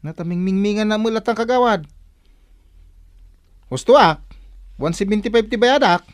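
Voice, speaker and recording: An actor playing an excitable village customer in a comedic radio drama, with a Northern Philippine accent and an animated, protesting manner. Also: medium pitch, normal speed, close to an everyday voice. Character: excitable village customer who checks every sum.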